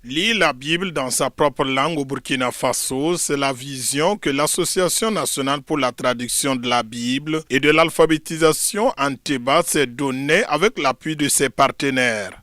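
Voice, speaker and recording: A man presenting and narrating a television news report in a measured, formal read. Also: mid-range voice, fairly bright tone, no room sound, clean studio sound.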